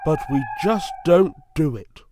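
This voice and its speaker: A man's voice: on the high side, animated, a little slow, noisy background. A man voicing a proud, grand male steam engine who talks haughtily and sings his words. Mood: haughty. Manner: haughty, sing-song.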